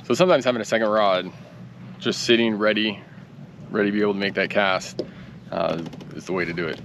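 A man's voice: fairly low pitch, background noise. A man in his thirties hosting a fishing vlog from a small boat outdoors, talking casually into a close action camera with fillers and easy laughs. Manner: relaxed, chatty, with fillers and quick laughs. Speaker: fly fishing guide and host. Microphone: action camera held close. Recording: outdoor camera audio with music bed. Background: background music.

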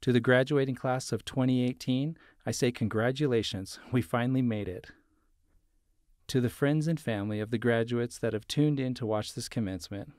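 A man delivers a formal, scripted graduation address. His voice has a noticeable gravelly creak and sits at an average male pitch, in a dry, close recording. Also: a little mellow, no echo. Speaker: staff member reading a student's speech. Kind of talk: formal commencement speech, read aloud.

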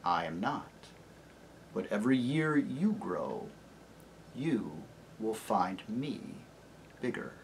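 A reader voicing a great, wise, gentle male lion who speaks slowly and gravely in a lowered, quiet voice. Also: sing-song, a little hiss or hum, heavily acted, rough recording. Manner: slow, grave and soft-spoken.

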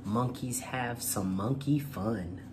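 A man's voice: mid-range voice, takes it slowly, background noise. A man presenting and reading aloud as host of a children's storytime, with a courteous manner. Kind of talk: storytime read-aloud and channel chat.

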